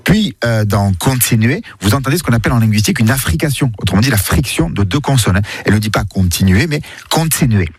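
Animated, husky male radio columnist. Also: medium pitch, quick pace, no echo. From Provence, southern France. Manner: didactic, demonstrating pronunciations. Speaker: radio columnist on accents and linguistics. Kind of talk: explanatory radio segment, conversational.

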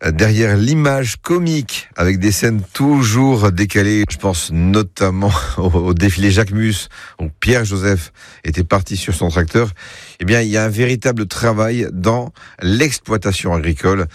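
A man's voice: fairly low pitch, moderate pace, dry recording, studio quality. A man working as a radio reporter, interviewing a guest politely with some hesitation.

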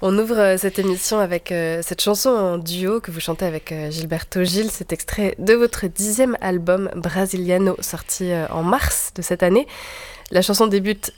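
A woman hosting a French radio interview, introducing her guest in a measured way with small filler pauses. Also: lowish voice, a little mellow. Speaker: radio host.

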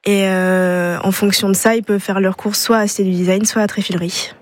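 A woman's voice: mid-range voice, steady tone, brisk. A young woman, a student charity vice-president, answering radio interview questions hesitantly, with frequent filler sounds.

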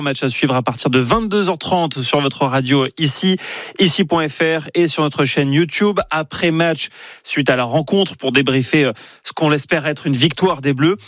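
A man's voice: fairly high pitch, no room sound, clean studio sound. A man presenting live sports news on the radio. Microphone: dry studio microphone.

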